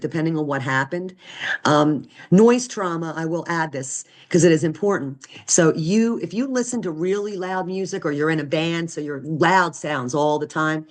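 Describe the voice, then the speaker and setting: A low-pitched woman lectures on nursing topics in a relaxed, conversational way. She keeps checking in with her listeners, tossing in "right?" and "okay?" as she goes. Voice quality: deep voice. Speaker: nursing instructor. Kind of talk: recorded lecture.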